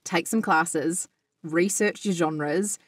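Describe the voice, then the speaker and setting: A woman co-hosting a podcast, summing things up in a measured way. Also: fairly low pitch, husky, dry recording, studio quality. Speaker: podcast co-host. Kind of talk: casual chat.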